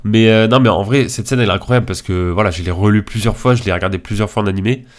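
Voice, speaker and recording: A man's voice: on the low side, fast. A young man with a head cold giving casual, slangy tier list commentary in a hesitant, informal way. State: congested from a cold. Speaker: video creator.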